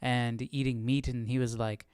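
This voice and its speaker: A man with a smooth, clear voice talks in a very dry space. He hosts casually, reading aloud and questioning as he goes, with relaxed slang and a thinking-out-loud manner. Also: mid-range voice, moderate pace, dry recording. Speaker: live-stream host. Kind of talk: casual study-along chat and reading aloud.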